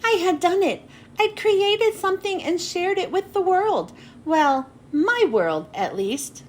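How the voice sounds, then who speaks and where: A woman narrating a children's storybook expressively, with dramatic pauses and drawn-out phrase endings. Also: very high-pitched, very animated. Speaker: children's book read-aloud host. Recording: noticeable background noise.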